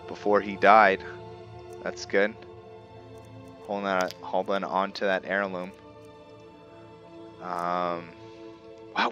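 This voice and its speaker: A man hosting a roleplay playthrough with casual game commentary, halting as he thinks aloud. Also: deep voice. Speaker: gameplay host, roleplayer. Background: soft background game music.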